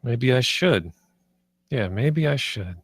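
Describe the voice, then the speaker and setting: A man's voice: medium pitch, lively intonation, takes it slowly, breathy edge, dry recording, clean studio sound. A man reading the part of a nervous, polite company man in a script table read, hesitant and apologetic.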